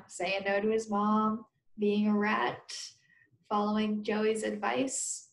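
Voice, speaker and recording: A woman teacher reads aloud for children in a medium-pitched voice. Her manner is conversational and explanatory, as if talking with pupils. The recording has a clear room echo.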